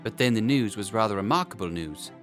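A man reading a children's story aloud as an animated, scripted audiobook narration. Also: on the low side, fairly quick, over music. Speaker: audiobook reader.

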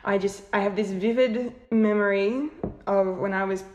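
Brief: woman, late 20s, easygoing guest, mid pitch, echoey room. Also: moderate pace, a little hiss or hum. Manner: relaxed, rambling with fillers and restarts. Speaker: podcast guest. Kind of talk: casual interview chat. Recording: roomy echo.

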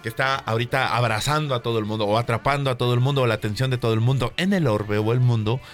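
A man's voice: medium pitch, fast talker. A man hosting a morning show, chatting playfully and announcing guests. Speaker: show host. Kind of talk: casual morning show chat. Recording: faint music behind.

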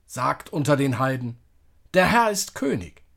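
A man's voice: medium pitch, sing-song, unhurried, gravelly, fairly bright tone. Solemn man leading church liturgy. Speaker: church service leader. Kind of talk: liturgical reading and prayer.

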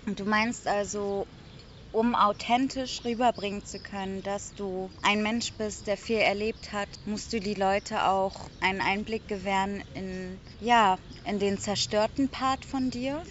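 A woman's voice: mid-range voice, fairly even intonation, measured pace. A woman interviewing casually for a podcast, recorded outdoors in a park. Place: outdoors on a picnic blanket in a park. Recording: dull outdoor recording, faint city noise.

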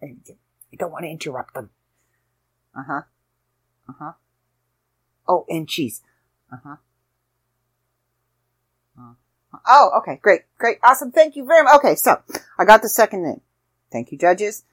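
A woman hosting her own podcast in an easy, rambling way, throwing in self-deprecating asides as she goes. Her voice sits a little low and has a slight rasp to it. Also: very animated, a little slow, long pauses. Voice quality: slightly raspy. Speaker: podcast host. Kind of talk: casual solo podcast chat.

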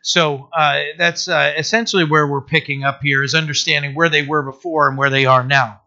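A male pastor with a scratchy voice, teaching through a Bible passage in a casual, halting way with lots of fillers and throat-clearing. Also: fairly high pitch, fairly even intonation, bright, forceful tone. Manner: halting, many uh fillers and restarts. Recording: dull lo-fi sound.

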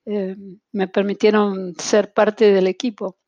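A woman's voice: mid-range voice, little pitch movement, slow, a little mellow, no echo, highs cut off. A woman from Argentina with an Argentine accent, a guest in an interview, speaking with many fillers and restarts. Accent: Argentine Spanish. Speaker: guest, translation-tool specialist. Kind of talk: interview over video call.

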